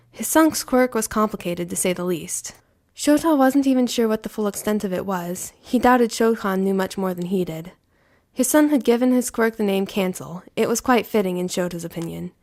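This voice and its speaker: A woman's voice: mid-range voice, darkish tone. A woman reading scripted fiction aloud as the narrator of an audio story.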